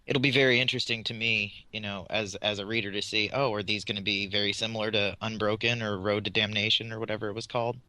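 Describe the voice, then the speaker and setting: A man's voice: fairly low pitch, little pitch movement, quick pace, few pauses, pushed and bright, no room sound, low-quality recording. A man on a podcast panel explaining his thoughts in a casual, analytical way.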